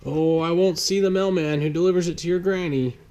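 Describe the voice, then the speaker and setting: A man's voice: fairly high pitch, fairly even intonation, brisk, a little mellow, a little hiss or hum, pitched above the performer's own voice, natural voice. A man voicing a friendly, slightly awkward male mail clerk in a storybook. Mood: chatty, obliging. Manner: explanatory, a little awkward.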